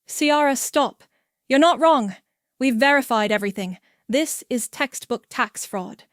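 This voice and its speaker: Sex: woman. Figure: a woman playing a firm federal agent, brisk and steady. Sound: dry, close. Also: on the high side, clean tone, no room sound, natural voice. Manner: brisk, matter-of-fact, reassuring.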